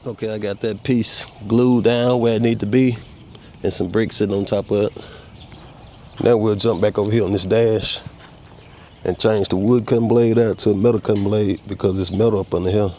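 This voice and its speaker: Man, low voice, head cold, laid-back workshop how-to talk, faint outdoor sounds. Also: fairly even intonation, moderate pace, a little mellow, a little hiss or hum. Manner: easygoing, talks while working, explains each tool. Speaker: custom car restorer demonstrating a repair. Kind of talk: casual step-by-step how-to narration.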